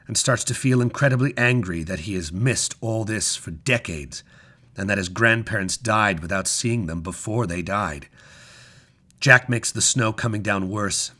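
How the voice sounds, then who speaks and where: Deep-voiced man reading a story aloud. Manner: measured, expressive storytelling with deliberate pauses. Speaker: story reader. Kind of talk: scripted dramatic story narration.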